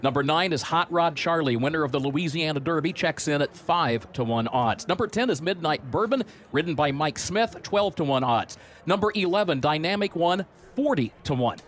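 A man commentating on a horse race broadcast, reading out odds and storylines in a steady informative way. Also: fairly high pitch, moderate pace. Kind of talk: live sports broadcast commentary.

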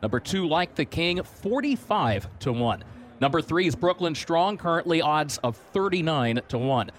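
A man commentating on a horse race broadcast, reading out odds and storylines in a steady informative way. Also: fairly high pitch, moderate pace. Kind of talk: live sports broadcast commentary.

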